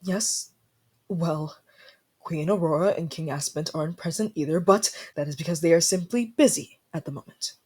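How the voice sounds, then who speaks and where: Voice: medium pitch, moderate pace, a little mellow, husky, lightly acted. A performer playing a young, haughty ice prince in a fantasy audio roleplay, formal and indignant, easily flustered, with dramatic sighs.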